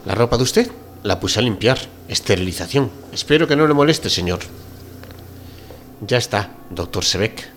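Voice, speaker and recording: A man acts out a deferential ship's doctor in a radio drama. He has a lowish voice and explains things with formal, fussy courtesy. There is faint background noise around him. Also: lively intonation, normal speed, close to an everyday voice. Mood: polite, apologetic. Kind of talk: amateur radio drama reading.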